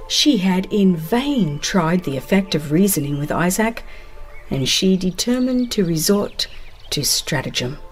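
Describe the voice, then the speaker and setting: A woman narrating a dramatized religious audiobook in a solemn, deliberate storytelling manner with dramatic pauses. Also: mid-range voice.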